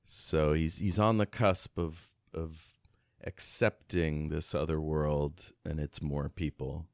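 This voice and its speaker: A man's voice: a bass voice, steady tone, slow, vocal fry, no room sound, clean studio sound. A man co-hosting a podcast, casually discussing a book in a reflective, slightly hesitant way. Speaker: podcast co-host.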